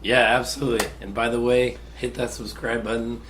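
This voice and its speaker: A man co-hosting a casual soccer talk show, his voice raspy from a cold, relaxed and analytical with hesitant restarts. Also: mid-range voice, fairly quick, a little hiss or hum. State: getting over a cold. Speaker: podcast co-host. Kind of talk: casual sports chat.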